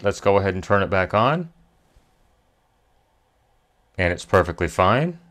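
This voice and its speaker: Male repair-tutorial host, lowish pitch, methodical step-by-step delivery. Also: fairly bright tone. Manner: methodical, step-by-step, thinking aloud. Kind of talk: hands-on repair tutorial narration. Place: indoor electronics workbench.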